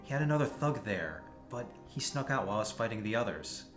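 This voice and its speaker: A man's voice: on the low side, sing-song, quick pace. A man playing a determined, brooding masked vigilante in an audio drama, grim and resolute. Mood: wary, determined. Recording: roomy echo, music bed underneath.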